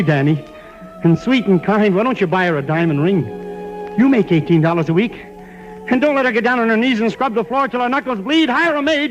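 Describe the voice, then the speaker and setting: An actor playing a poor, tormented young lover with overwrought delivery in a 1940s radio melodrama parody. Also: male voice, very high-pitched, highs cut off. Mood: frenzied. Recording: old 1940s radio broadcast recording.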